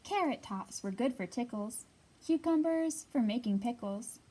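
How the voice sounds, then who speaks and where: Librarian lady reading a kids picture book, sing-songy, slow and kinda high, little bit of hiss behind. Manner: sing-song, expressive reading with questions to the children. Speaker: children's librarian. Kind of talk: storytime read-aloud for young children.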